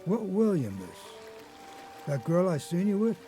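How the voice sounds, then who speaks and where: An actor playing a haunted old grandfather, an escaped convict telling his story haltingly in a radio drama. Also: sits high, very animated, dark tone, faint music behind. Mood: urgent. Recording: noticeable background noise.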